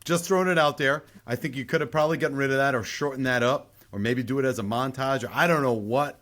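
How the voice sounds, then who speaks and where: A man's voice: medium pitch, quick pace, fairly bright tone. A man co-hosting a podcast, giving his casual opinion of a film, hedging and repeating himself to make his point. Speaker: podcast co-host.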